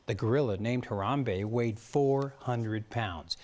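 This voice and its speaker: Man, American accent, TV news anchor, scripted narration, mid-range pitch. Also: slow, darkish tone.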